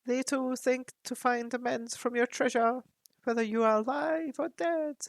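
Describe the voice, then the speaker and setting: A woman voicing an ancient croaking raven chief. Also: high voice, fairly even intonation, no room sound, pitched above the performer's own voice. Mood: solemn warning. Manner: solemn, measured counsel. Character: ancient huge male raven chief, grave and wise.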